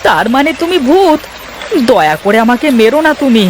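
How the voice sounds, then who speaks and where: A man's voice: extremely high pitch, big pitch swings, moderate pace, a little hiss or hum. A man voicing a timid, kindly young village boatman in a cartoon, exclaiming and easily frightened. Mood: terrified. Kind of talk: cartoon voice acting.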